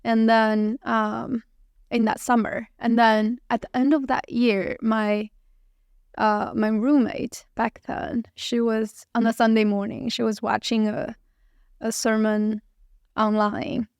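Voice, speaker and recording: A Chinese-accented woman in her thirties speaks as an interview guest, with a slightly high voice carrying a gravelly fry. She talks hesitantly with many fillers and light laughs. The sound is dry and close. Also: flat delivery, measured pace, no echo. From China. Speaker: guest, translator. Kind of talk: casual interview conversation.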